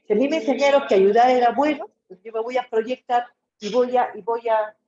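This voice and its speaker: A woman's voice: on the high side, fairly even intonation, fairly quick, dark tone. A woman with a Peruvian Spanish accent giving an informal lecture in a didactic way, often checking in with short tag questions. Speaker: psychology lecturer. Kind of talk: informal webinar-style lecture. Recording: echoey room, dull muffled audio.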